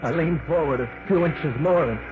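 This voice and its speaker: A man's voice: on the high side, normal speed, close to an everyday voice. An actor voicing a frightened captive man, old radio. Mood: tense. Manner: tense, dramatic storytelling. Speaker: first-person narrator of a radio play. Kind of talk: old-time radio drama narration. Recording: scratchy, tinny old radio broadcast.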